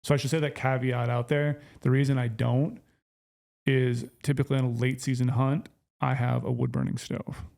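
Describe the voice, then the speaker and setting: A man's voice: medium pitch, level tone, normal speed, gravelly, a little mellow. A man co-hosting a casual podcast, explaining his choices in an easygoing, plain way. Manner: easygoing, explains plainly, short agreeing replies. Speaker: podcast co-host.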